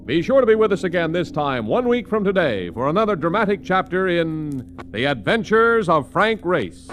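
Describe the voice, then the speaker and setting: A man's voice: sits high, very animated, normal speed, a bit bright. A man announcing scripted credits as a radio announcer in 1940s style. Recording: vintage radio, faint background noise.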